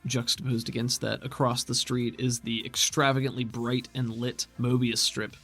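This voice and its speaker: A man narrating a tabletop roleplaying game as game master in a descriptive, measured storytelling manner. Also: medium pitch, flat delivery, fairly bright tone, faint music behind.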